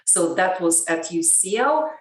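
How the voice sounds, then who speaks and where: A woman from Ukraine, a lecturer, giving measured, thoughtful interview answers. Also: lowish voice, sing-song. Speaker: guest, education lecturer. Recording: roomy, distant mic.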